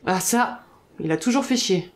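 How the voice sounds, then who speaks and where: A woman playing a cynical, brash, sharp-tongued woman. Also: mid-range voice, lively intonation, normal speed, slight background noise, close to an everyday voice. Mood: dry. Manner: sardonic, blunt, slangy. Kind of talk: audio drama acting.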